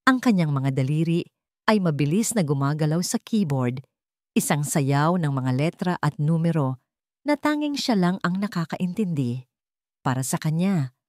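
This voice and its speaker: Low-voiced woman narrating with lively intonation. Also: no room sound, clean studio sound. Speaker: story narrator. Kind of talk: scripted dramatic narration of a love story.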